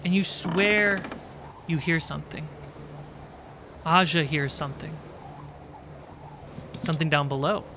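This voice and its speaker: Tabletop game master, mid-range pitch, suspenseful descriptive narration, noticeable background noise. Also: measured pace, long pauses.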